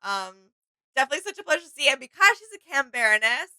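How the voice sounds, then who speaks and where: A woman's voice: high voice, big pitch swings, quick pace, pushed and bright. A young woman co-hosting a reaction video in casual, conversational style. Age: young adult. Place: small indoor room with desk and shelves.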